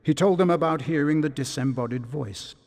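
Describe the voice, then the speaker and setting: A man narrating a video game story from a script in a measured, dramatic storytelling manner. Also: on the high side, a little mellow. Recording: long reverb.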